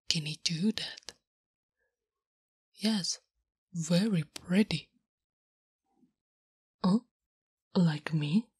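A woman voicing a big, gentle, naive orc warrior woman in a soft, breathy whisper, with halting, stilted speech, for an ASMR roleplay. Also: lowish voice, no echo, full character voice. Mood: puzzled, gentle. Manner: halting, literal, third-person stilted speech.

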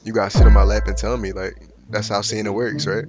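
A man's voice: on the low side, flat delivery, fast talker, dull audio. A man of twenty casually flirting and joking in slang as he chats with strangers online from a room. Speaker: streamer chatting with strangers online. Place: small room, indoors. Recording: loud music behind voice.

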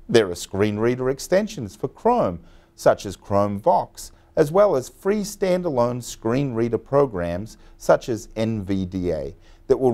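A man in his forties giving a clear, step-by-step scripted software tutorial in a bright studio. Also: on the low side. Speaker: software trainer.

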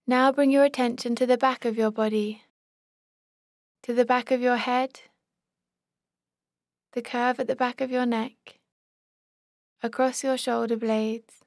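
Calm woman doing a guided meditation, really slow, higher voice, short phrases. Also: no echo, clean studio sound. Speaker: meditation instructor.

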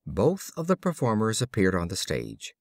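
Low-voiced man reading fables expressively. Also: creaky, dry recording, clean studio sound. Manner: expressive, deliberate storytelling delivery. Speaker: audiobook reader. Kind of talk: scripted storybook narration of fables.

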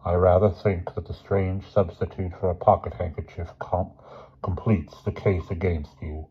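A man's voice: sits low, steady tone, moderate pace, husky, highs cut off. A man voicing a stern middle-aged headmaster in a children's audiobook, speaking with dry, formal authority. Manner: grim, formal, clipped authority. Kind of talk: children's novel audiobook character voice.

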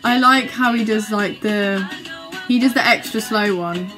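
A woman's voice: medium pitch, measured pace, rough recording. A young woman chatting casually in a gushing, giggly, exclamatory way, as a vlogger reacting to videos. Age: young adult. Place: small bedroom, indoors. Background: music from videos being watched.

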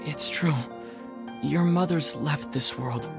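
A kind, earnest teenage boy hero from a fantasy game. His speech is sincere and plain, with clearly audible music behind it. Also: on the high side, slow. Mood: somber, gentle. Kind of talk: naturalistic game voice acting.

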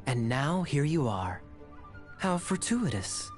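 An actor playing a wry young cavalry captain in a video game, smooth and polished in manner. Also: male voice, on the high side, sing-song, slow, over music. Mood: suave, wry.

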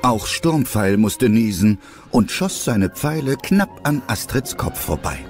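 A man's voice: mid-range voice, big pitch swings, slow, darkish tone, soft background music. A man narrating a scripted audio drama as its storyteller.

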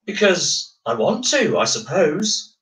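A man's voice: on the high side, big pitch swings, a bit bright, distant mic, pitched above the performer's own voice, exaggerated voice, low-quality recording. A man voicing a curious, mischievous small boy from a storybook, speaking in a hesitant, sheepish way. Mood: sheepish, unsure. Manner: hesitant, halting.

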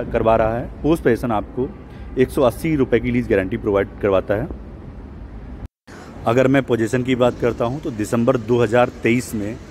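A man's voice: mid-range voice, darkish tone, a little hiss or hum. A man giving a walking property sales tour outdoors at a construction site, explaining things with many English terms mixed in. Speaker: real estate agent.

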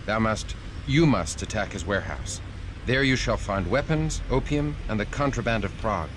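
A man's voice: lowish voice, animated, normal speed, background noise, close to an everyday voice. A man playing a solemn, honourable medieval crusader vampire who speaks formally and archaically in a video game. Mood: grave, resolute. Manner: grave, archaic, formal speech. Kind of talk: video game cutscene acting. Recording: music behind the voice.

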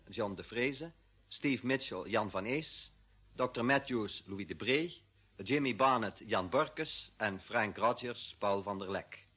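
A man's voice: medium pitch, a bit bright, husky. A man reading scripted closing announcements in the manner of a vintage radio announcer.

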